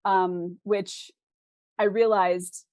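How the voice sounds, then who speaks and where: Expressive woman author in a casual interview. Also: medium pitch, very slow, no room sound. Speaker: interview guest, fantasy author. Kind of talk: casual interview chat about own work.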